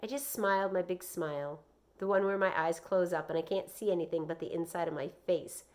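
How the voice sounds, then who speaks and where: A woman's voice: lowish voice, brisk, a little mellow. A woman reading a children's novel aloud as narrator, with brief explanatory asides.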